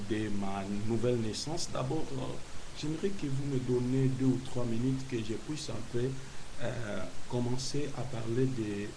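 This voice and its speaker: Congo guy, a preacher telling his story on tv, lots of uhs and stops, hiss all over the tape. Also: medium pitch. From Kinshasa, Congo. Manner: hesitant, many pauses and fillers. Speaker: apostle, guest preacher. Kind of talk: testimony interview. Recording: hissy broadcast recording.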